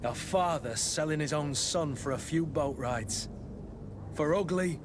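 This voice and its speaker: Blunt, higher-voiced male bodyguard companion played by an actor. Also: measured pace, background noise, close to an everyday voice. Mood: grim, watchful. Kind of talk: video game dialogue acting.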